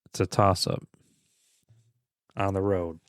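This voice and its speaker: A man with a fairly low voice co-hosts a casual sports podcast. He talks conversationally in short, loose phrases, and the sound is close and dry. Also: level tone, takes it slowly, dry recording. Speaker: podcast co-host.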